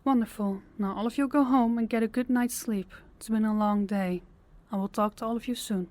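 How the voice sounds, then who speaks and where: A woman playing a composed, stern female crime boss in an audio drama, speaking deliberately and with authority. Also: on the high side, steady tone, darkish tone, natural voice. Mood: firm, commanding. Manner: authoritative, deliberate, clipped orders. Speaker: voice actor. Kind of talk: audio roleplay drama acting.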